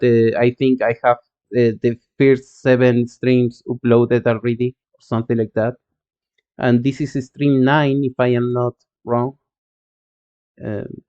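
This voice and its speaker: An Argentine-accented man, a native Spanish speaker, streams himself coding and chats with viewers in a slow, unhurried way. His voice is smooth and clear, though the stream audio sounds dull and lo-fi. He talks in halting bits, full of fillers and restarts. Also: mid-range voice. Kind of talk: live coding stream, reading chat.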